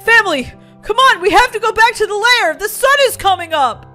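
A woman acts out a goofy, good-natured wolf dad from a children's game story. The delivery is hammy and drawn out, very high and bright for a father, swinging widely in pitch. Music runs behind. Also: lively intonation, fairly quick, exaggerated voice. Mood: encouraging. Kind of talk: children's Roblox roleplay story.

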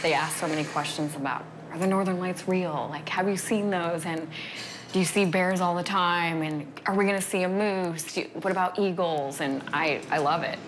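A woman's voice: fairly low pitch, normal speed, noisy background. An American woman from Alaska, in her thirties, effusively giving casual interview answers as a barista. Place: small quiet seating room indoors.

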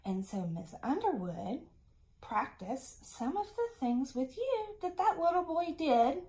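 Female schoolteacher, thirties, very slow delivery, fairly high voice, encouraging, addressing little kids in a video mini lesson. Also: big pitch swings, dark tone, poor audio. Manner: encouraging, deliberate teacherly address to young children. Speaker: elementary school teacher.

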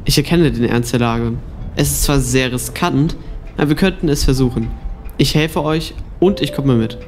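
A man's voice: mid-range voice, moderate pace, darkish tone, faint music behind, close to an everyday voice. A man playing a loyal, cautious young guard in an audio drama. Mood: earnest, willing. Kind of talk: amateur radio play acting.

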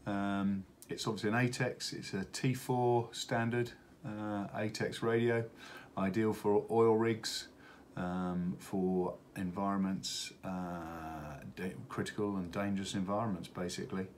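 A middle-aged man giving an unscripted product unboxing walkthrough, speaking haltingly with many hesitations. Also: lowish voice. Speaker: product presenter for a radio retailer. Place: indoors at a wooden table in an office. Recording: steady background room noise.

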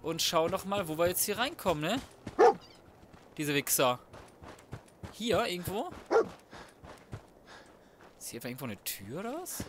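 A man's voice: high-pitched, sing-song, a little slow, long pauses, heavy background noise. A man giving casual, slangy and crude commentary on a video game, talking to himself as he plays. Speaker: let's play gamer.

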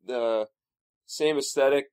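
A man's voice: mid-range voice, slow, a little mellow, clear, resonant tone, dry recording, studio quality. A man giving his opinion as a guest in a casual panel discussion, restarting and repeating phrases.

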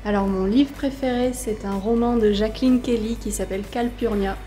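Mellow, even-toned woman in her thirties. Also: on the high side, moderate pace, faint music behind. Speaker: school librarian. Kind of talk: explanatory interview answer. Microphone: handheld earphone mic held close.

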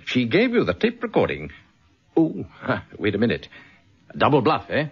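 An actor playing a gruff, authoritative senior British police chief in a radio drama, with a husky voice. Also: male voice, mid-range voice, huge pitch swings, normal speed, exaggerated voice. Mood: probing, surprised. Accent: British English. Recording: dull lo-fi radio drama recording.

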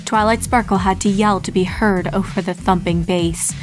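A woman's voice: medium pitch, fairly even intonation, normal speed. A woman reading a horror story aloud as a scripted, dramatic narration. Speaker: fan fiction reader and narrator. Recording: music bed under the voice. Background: background music.

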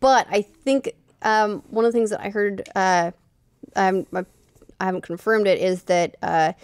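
A woman's voice: medium pitch, steady tone, measured pace, clear, resonant tone, no echo. A woman in her thirties co-hosting a podcast, talking casually into a close studio microphone. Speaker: podcast co-host. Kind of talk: casual panel discussion. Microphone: close studio microphone on desk.

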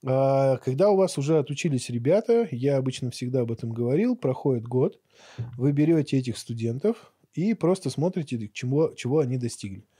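Bright, husky middle-aged man explaining. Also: medium pitch, animated, a little fast, no echo, clean studio sound. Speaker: podcast guest, barber and teacher. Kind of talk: conversational interview talk. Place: small acoustically treated podcast studio. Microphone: boom-mounted studio microphone up close.

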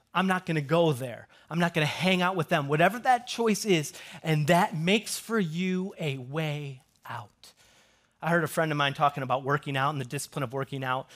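An American man in his forties preaching a conversational sermon as a pastor, animated and emphatic in his delivery. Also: high-pitched. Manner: animated, emphatic, swings between hushed asides and loud emphasis. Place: large church stage, indoors.

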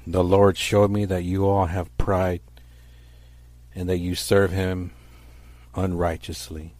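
A man delivers a fire-and-brimstone sermon in a low, mellow-toned voice. His manner is stern and accusatory, with declamatory emphasis on his phrases. The sound is dry and close, without room reflections. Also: little pitch movement, takes it slowly, no room sound. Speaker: preacher.

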